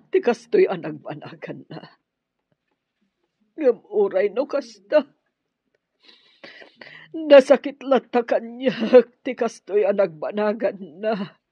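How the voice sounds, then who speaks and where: Slow, husky grieving widow. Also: female voice, medium pitch, dry recording. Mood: grieving, forgiving. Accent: Filipino. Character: grieving mother and new widow of a wicked man. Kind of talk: Filipino radio drama acting. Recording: dull, lo-fi radio drama audio.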